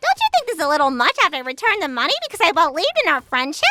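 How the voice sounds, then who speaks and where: A woman's voice: sits high, huge pitch swings, racing. A woman voicing a young woman who dodges her debts in a dubbed manga drama. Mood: defensive. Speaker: voice actor in a manga dub. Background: background music.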